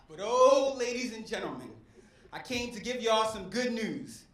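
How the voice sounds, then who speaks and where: High-voiced man doing stand-up in an echoey club. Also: very animated, unhurried. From West Philadelphia. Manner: preacher-like cadence with crowd work. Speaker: stand-up comedian. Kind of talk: stand-up set. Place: comedy club stage. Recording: echoey club with faint crowd noise.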